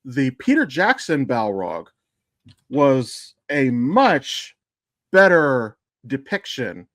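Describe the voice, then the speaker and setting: A man's voice: medium pitch, very animated, slow pace, a bit bright, no room sound, studio quality. A man co-hosting a podcast in casual fan discussion, speaking informally with some swearing. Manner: informal, profane. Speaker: podcast co-host.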